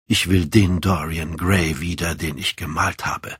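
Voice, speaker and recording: A male reader acts out an older painter in an audiobook, earnest and sorrowful. He speaks in a pained, hushed way with a husky edge. The recording is dry and close. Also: mid-range voice, lively intonation, unhurried, no echo, pitched above the performer's own voice. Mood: sad. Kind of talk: dramatic audiobook character reading.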